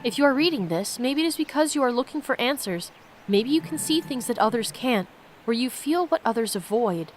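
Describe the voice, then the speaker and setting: A woman's voice: on the high side, expressive, brisk, a little hiss or hum, close to an everyday voice. Woman reading as a solemn, solitary old man. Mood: reflective. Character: reflective, solitary 92-year-old man's written journal.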